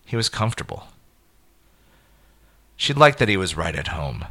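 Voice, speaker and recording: A man reading a scripted horror story as a dramatic narrator, in deliberate, clipped phrases. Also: sits low, big pitch swings, bright, forceful tone.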